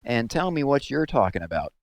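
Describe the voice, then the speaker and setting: Man playing a slow-drawling, leering drunk sheriff. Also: mid-range voice, big pitch swings, no room sound, pitched above the performer's own voice. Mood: leering, taunting. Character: drunk, leering small-town sheriff with menacing ease.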